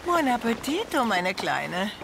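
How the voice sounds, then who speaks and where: Slow, haughty noblewoman. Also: on the high side, sing-song, gravelly, noisy background, exaggerated voice. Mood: doting, sly. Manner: theatrical, condescendingly sweet, drawling. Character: haughty, seductive, mature Italian noblewoman, doting and sly. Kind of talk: German radio play acting. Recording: studio radio play with music underneath.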